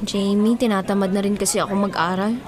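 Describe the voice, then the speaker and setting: A woman's voice: medium pitch, fairly even intonation, quick pace, natural voice. A woman playing a heartbroken, remorseful young college student in a Filipino radio melodrama, with a plaintive delivery. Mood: dejected. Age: young adult. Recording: crowd chatter behind the voice.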